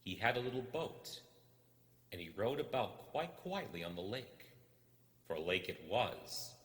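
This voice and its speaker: A man with a low voice narrates a dark tale theatrically. His intonation swoops widely, with deliberate, suspenseful pauses. Everything sits in long, echoing reverb. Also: sing-song. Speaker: storybook reader. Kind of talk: dramatic, suspenseful scripted narration.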